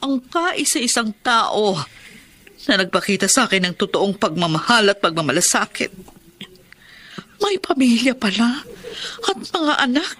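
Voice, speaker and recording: A distraught middle-aged woman voiced by an actress in a radio drama, her voice set a little high. She pleads and aches through every line, torn with grief. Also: very animated, measured pace, pauses often, a bit bright, heavily acted. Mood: hurt. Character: heartbroken woman Nene, former mistress. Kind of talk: Tagalog radio drama acting.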